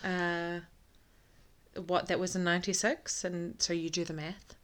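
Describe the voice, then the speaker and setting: A woman's voice: on the low side, little pitch movement, measured pace, fairly bright tone. A woman in her thirties, originally from Iraq, chatting frankly and laughing on a casual podcast, swearing freely. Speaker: podcast co-host. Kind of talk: casual chat.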